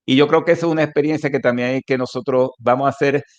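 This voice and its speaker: A man's voice: medium pitch, steady tone, fast. A Venezuelan man speaking Spanish as a guest in a conversational online interview, emphatic and repeating key phrases. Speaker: interview guest, mathematics educator. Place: remote online call from Colombia. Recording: slightly dull internet call audio.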